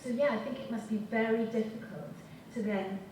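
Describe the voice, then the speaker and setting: A woman's voice: a little high, steady tone, brisk. A woman with a strong Welsh accent, an actor speaking thoughtfully on a panel. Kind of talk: panel discussion. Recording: echoey hall from far off, noisy.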